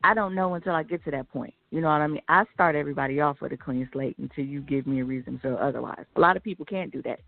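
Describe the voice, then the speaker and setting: An American woman with a creaky voice chatting bluntly and slangily as a radio co-host, giving her opinions. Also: deep voice, rapid-fire, hardly any pauses, no echo. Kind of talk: casual opinionated chat. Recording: narrow tinny phone-like line.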